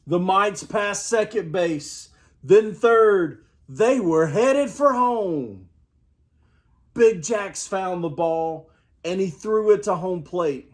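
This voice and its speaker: Older man, fairly high pitch, very slow pace, expressive children's storybook read-aloud. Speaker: grandparent storyteller. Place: outdoors.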